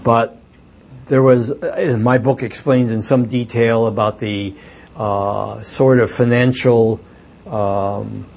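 A man's voice: medium pitch, steady tone, takes it slowly, vocal fry, darkish tone. An older man, an author and former reporter, telling stories at a book talk in a reflective, hesitant way with many fillers. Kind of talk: book talk with audience questions.

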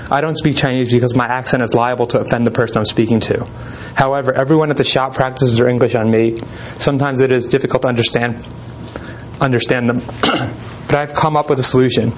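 A man of ordinary pitch gives an informal talk, reading old letters aloud to his listeners. He speaks in a relaxed, unhurried way, and his reading is broken by ums and small restarts. A faint hiss sits behind his voice. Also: low-quality recording. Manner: relaxed, hesitant with frequent ums. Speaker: presenter.

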